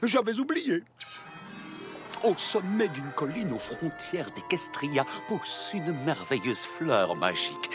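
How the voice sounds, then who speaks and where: Cartoon trickster creature: flamboyant, wheedling fake invalid, wildly swinging pitch, loud music underneath. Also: male voice, sing-song, slow pace. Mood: sly, feeble. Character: theatrical, self-pitying male trickster creature feigning illness. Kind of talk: French animated series dub with singing. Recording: music behind the voice.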